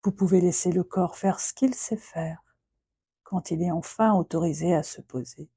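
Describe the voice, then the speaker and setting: A woman guiding a yoga nidra relaxation in a soft, breathy voice, speaking gently in short phrases with long, unhurried pauses. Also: on the low side, no echo. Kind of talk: guided relaxation meditation, slow and soothing.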